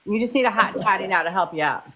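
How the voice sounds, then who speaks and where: A woman's voice: mid-range voice, fast, pushed and bright, a little hiss or hum. A woman hosting a casual live stream, greeting viewers by name and chatting with her guests. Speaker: host.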